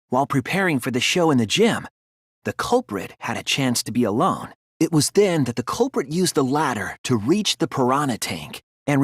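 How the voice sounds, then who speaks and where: Young male detective, husky, dry close recording, determined, deliberate deductions, dramatic game dub, animated intonation. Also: medium pitch, no room sound. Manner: deliberate, earnest, explanatory delivery with dramatic emphasis.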